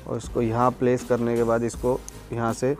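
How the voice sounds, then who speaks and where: A man's voice: mid-range voice, completely flat delivery, normal speed, darkish tone, soft background music. A young man gives a casual, explanatory product review in a conversational way, mixing in English words. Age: young adult. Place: outdoors, terrace and courtyard.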